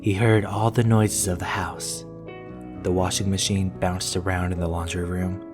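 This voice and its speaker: Low-voiced man narrating over guitar music. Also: flat delivery, normal speed. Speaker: horror story reader. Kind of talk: scripted first-person narration. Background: plucked guitar music.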